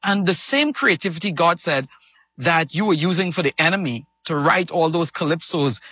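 A Trinidadian-accented man speaks with a bright, slightly husky voice that swings widely in pitch. He tells stories animatedly and acts out other people's lines. The sound is dry and a bit muffled. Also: normal speed, no room sound. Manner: animated storyteller, laughing and acting out quotes. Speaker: pastor and guest. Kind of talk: casual interview chat.

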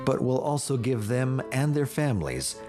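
A man's voice: mid-range voice, sing-song, normal speed. A man giving scripted narration for a charity documentary, earnest and appealing for donations. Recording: music bed under voice-over.

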